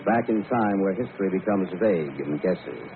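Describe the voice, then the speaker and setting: A man's voice: deep voice, expressive, moderate pace. An actor playing an earnest, uneasy man in a grave, dramatic old-time radio style. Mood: grave, foreboding. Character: Jack, earnest, uneasy young man telling a supernatural tale. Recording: noisy, narrow-band vintage radio.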